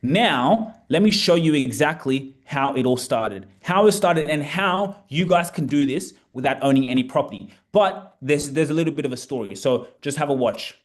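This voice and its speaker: Man, Australian accent, young adult, casual storytelling to camera, animated, fairly high voice. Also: normal speed, few pauses. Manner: animated, repeats words for emphasis. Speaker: vlogger and property coach.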